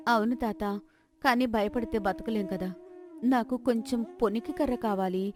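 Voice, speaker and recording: A woman's voice: fairly high pitch, fairly even intonation, measured pace, music in the background, close to an everyday voice. Woman acting a determined young village daughter. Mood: earnest. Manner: earnest, reassuring, firm. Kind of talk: acted folk-tale dialogue.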